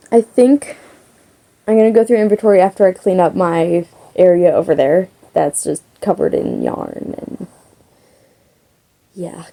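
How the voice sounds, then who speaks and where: Young woman with a creaky voice. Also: lowish voice, warm tone. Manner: rambling with many fillers. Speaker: crochet vlogger. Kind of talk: casual chat.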